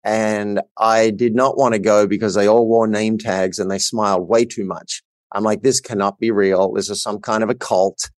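A man's voice: on the low side, steady tone, normal speed, hardly any pauses, a little mellow, no room sound. A middle-aged man with an Australian accent, telling his personal story earnestly and emphatically as a coach guesting on a podcast.

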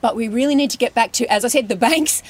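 A woman's voice: on the high side, fast, husky. A woman speaking earnestly and emphatically as a panellist in a discussion. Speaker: radio panellist.

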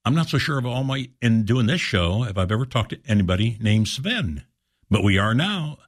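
A man's voice: fairly low pitch, very animated, moderate pace. A man hosting a radio interview, asking folksy, rambling questions and correcting himself as he goes. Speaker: radio talk show host.

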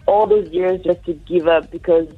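A young woman from France answering interview questions in English, soft-spoken and halting, with pauses. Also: on the low side, fairly even intonation, husky. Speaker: guest, athlete. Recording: tinny phone-like line.

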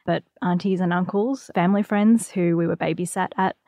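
A woman's voice: fairly low pitch, fairly even intonation, moderate pace, dark tone, dry recording, studio quality. A woman, recalling things conversationally as a guest in an interview. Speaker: podcast guest, illustrator.

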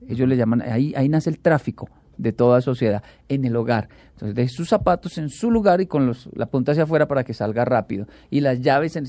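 A man, a talk show guest who tells animated stories and acts out the quotes. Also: mid-range voice, no echo. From Colombia. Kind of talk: casual chat.

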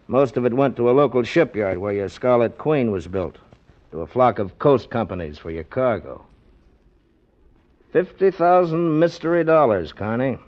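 A man's voice: mid-range voice, expressive, normal speed, natural voice. An actor, male, as a shrewd police investigator who presses with dry sarcasm. His voice sits in an average male register. The vintage radio recording is dull and narrow. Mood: accusing. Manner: probing, dryly sarcastic. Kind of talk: 1940s radio drama acting. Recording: muffled 1940s radio recording.

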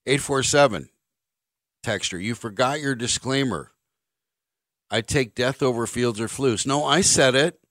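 A man's voice: medium pitch, a little slow, long pauses, no echo. A male sports radio host, ranting bluntly and sarcastically in a studio. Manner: blunt, sarcastic, animated rants. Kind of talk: opinionated sports radio monologue. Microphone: studio microphone.

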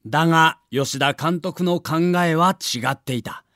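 A man's voice: on the high side, measured pace, fairly bright tone, no echo, studio quality. A man reading a scripted documentary narration with a theatrical storyteller's delivery.

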